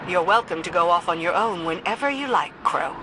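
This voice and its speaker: A woman playing a blunt, sceptical, loyal military commander in crisp, matter-of-fact game dialogue. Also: on the low side, expressive, fairly quick, noisy background, close to an everyday voice. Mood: brisk. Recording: loud music under the voice.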